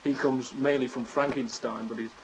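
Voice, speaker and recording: A man's voice: medium pitch, fairly even intonation, normal speed, slight background noise, low-quality recording. A man, chatting casually as an interview guest. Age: 20s. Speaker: interview guest, amateur radio drama writer.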